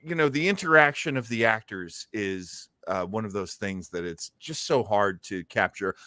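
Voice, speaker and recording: A man's voice: lowish voice, lively intonation, normal speed, sharp tone, no echo. A man hosting a casual chat show, talking in an effusive, appreciative manner. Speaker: show host.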